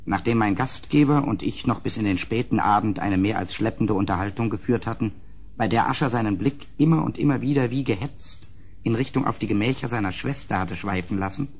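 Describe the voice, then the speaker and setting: An actor playing an uneasy gentleman who recounts a gothic horror in an audio drama, in a measured, grave, literary manner. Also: lowish voice, slightly rough. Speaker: narrator and character.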